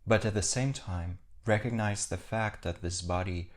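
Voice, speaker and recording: A man leading a guided meditation as a teacher, speaking calmly in short, measured phrases with pauses. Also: low voice.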